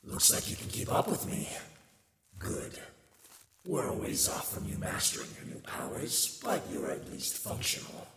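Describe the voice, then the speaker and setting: Casting: male performer as sardonic demon master, low gravelly voice, wide dramatic swings, condescending, ASMR roleplay. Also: huge pitch swings, measured pace, echoey room, big-room sound, very noisy, heavily acted. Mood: wry, grudging. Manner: theatrical, condescending, sly asides.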